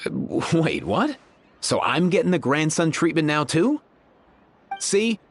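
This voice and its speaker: A man's voice: on the high side, big pitch swings, takes it slowly, a little hiss or hum, heavily acted. An actor playing an exasperated, cheeky young man in a video game, with a sarcastic, slangy way of talking.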